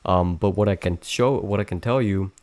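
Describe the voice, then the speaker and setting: A young man hosting a live trading stream, explaining charts in a monotone, halting way with many restarts. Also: sits low. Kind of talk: casual live trading lesson.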